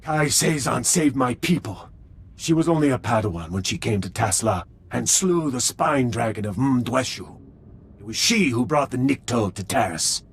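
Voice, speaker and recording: An actor playing a proud, devoted alien warrior in a video game, speaking in a solemn, formal, declamatory way. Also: male voice, medium pitch, animated, normal speed, creaky, husky. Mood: reverent, proud.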